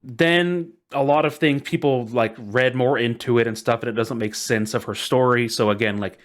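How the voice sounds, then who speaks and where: A man's voice: medium pitch, normal speed. A man co-hosting a podcast, casually recounting internet lore in an amused, hyperbolic way. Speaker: podcast co-host.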